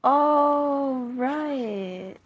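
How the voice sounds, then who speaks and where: A young woman streaming a game, talking slowly and unhurriedly in a fairly high voice. She thinks aloud as she plays, hesitant and full of fillers, often trailing off mid-phrase. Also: lo-fi sound. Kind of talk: casual gameplay commentary.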